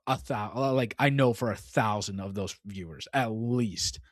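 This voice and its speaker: A man's voice: on the low side, normal speed, dry recording, clean studio sound. A young man co-hosting a casual gaming podcast, rambling and filling his talk with "like" and "dude.". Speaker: podcast co-host.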